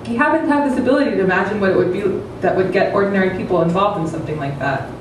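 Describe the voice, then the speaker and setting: Woman, thirties, quick delivery, dramatic fiction reading, distant mic, noisy. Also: medium pitch, heavy reverb. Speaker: reader at a literary event. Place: stage of a small event room with an audience. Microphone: table microphone on a stand.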